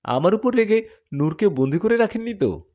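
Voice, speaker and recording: A man's voice: high voice, animated, moderate pace, dark tone, pitched above the performer's own voice. A man doing an earnest lovesick jinn warrior. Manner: earnest, pleading. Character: devoted young male jinn warrior, lovesick.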